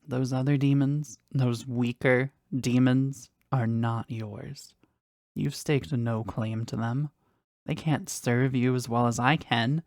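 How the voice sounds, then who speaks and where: A woman playing a powerful, jealous demon devoted to their master in an intimate audio roleplay, speaking deliberately and intensely in a husky, breathy voice. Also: steady tone, a little mellow, no room sound, clean studio sound, full character voice. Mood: possessive, proud. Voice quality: husky, breathy, low creaky voice. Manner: slow, deliberate, intense, dramatic.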